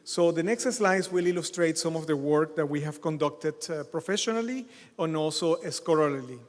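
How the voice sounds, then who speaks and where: Middle-aged man, keynote speaker, halting delivery, slightly high voice, long hall reverb. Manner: halting, many fillers and restarts. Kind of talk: conference keynote lecture. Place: large hotel conference hall.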